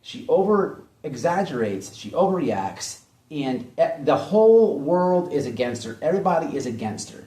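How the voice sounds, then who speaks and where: A man's voice: fairly high pitch, very animated, normal speed, mellow. A man giving opinionated drama commentary in a blunt, mocking way, hammering points home with repetition. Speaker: online commentary host. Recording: echoey room, distant mic.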